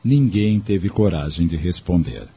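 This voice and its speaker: A man with a Brazilian accent narrating a scripted audio drama in a measured, literary storytelling manner. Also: low-pitched, animated, dark tone, breathy edge, muffled recording.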